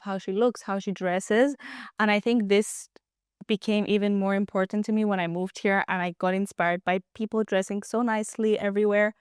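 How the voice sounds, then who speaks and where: Chatty young woman, smooth clear voice. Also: medium pitch, no room sound, studio quality. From Cyprus. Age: 20s. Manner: relaxed, chatty, laughs easily. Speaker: guest, app founder. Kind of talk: casual interview chat.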